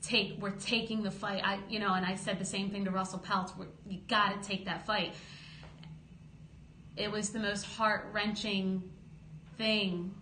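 A woman in her thirties, a boxing promoter, telling her story in a lively podcast interview at a close studio mic. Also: mid-range voice, measured pace. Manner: lively storytelling, gestures while talking, acts out quotes. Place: small studio office, indoors. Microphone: studio boom mic close up. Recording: roomy studio with faint hum.

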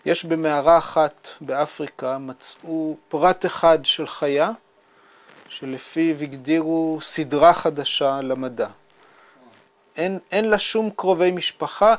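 A man, explaining things in a measured interview manner. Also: fairly high pitch. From Israel. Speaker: scientist, interviewee. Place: small indoor room with bookshelves.

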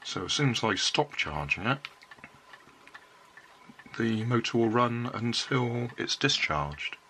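An older man with a cold, calmly explaining a homemade circuit step by step at his hobby workbench. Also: medium pitch, takes it slowly, a little hiss or hum. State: has a cold. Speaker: electronics hobbyist. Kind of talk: casual workbench demonstration.

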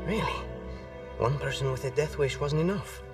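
A man voicing a frightened, bitter pilot who speaks sarcastically and breaks into desperate shouts. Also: on the high side, normal speed, creaky, far from the mic. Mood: anxious sarcastic. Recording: game audio with music, off-mic.

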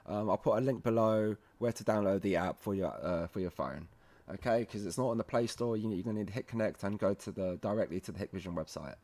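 A man's voice: mid-range voice, mellow. A man presenting a casual how-to demonstration, speaking informally and in a friendly way to viewers. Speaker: presenter of a product tutorial series.

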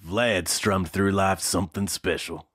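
A man's voice: low-pitched, steady tone, measured pace, fairly bright tone, no room sound, clean studio sound. A man playing a solemn spectral musician reminiscing in an acted documentary interview. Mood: wistful.

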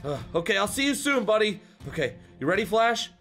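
A man playing an excitable, hesitant superhero leader who gives orders in an improvised video game roleplay. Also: sits high, fairly quick, exaggerated voice. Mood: bossy, flustered. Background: music.

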